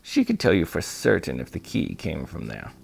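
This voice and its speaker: A man voicing a kindly old male shopkeeper in an audiobook, with courteous, old-fashioned, gently formal phrasing. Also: sits low, huge pitch swings, normal speed, creaky, slightly rough, full character voice. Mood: kindly, reassuring. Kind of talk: audiobook character voice.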